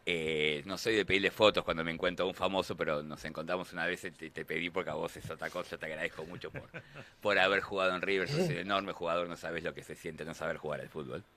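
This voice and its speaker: Deep, bright-toned Rioplatense interviewer. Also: male voice, steady tone, fast. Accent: Rioplatense Spanish. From Argentina. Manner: long rambling questions with fillers. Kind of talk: casual interview questions.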